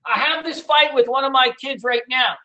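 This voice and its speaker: A middle-aged man teaching day trading in a casual, loud and blunt way, hooting and swearing as he talks over a screen share. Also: very high-pitched, moderate pace. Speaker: trading educator.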